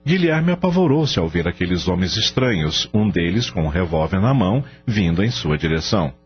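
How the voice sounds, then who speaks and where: Male storyteller for radio drama, lively intonation, slightly muffled sound with music underneath. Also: sits low, normal speed. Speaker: narrator. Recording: dull radio-like audio, music behind.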